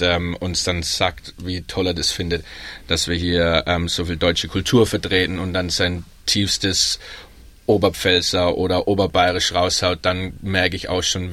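Low-voiced man with a Baden accent. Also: no echo. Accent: Baden German. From Baden, Germany. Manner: relaxed, chatty, many ums. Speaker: guest, pub worker in Melbourne. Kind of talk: casual panel discussion.

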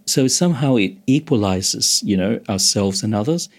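Low-voiced meditation teacher from Hong Kong. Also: male voice, lively intonation, moderate pace. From Hong Kong, moved to Australia as a teenager. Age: 50s or older. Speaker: meditation instructor, interview guest. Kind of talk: explanatory interview talk.

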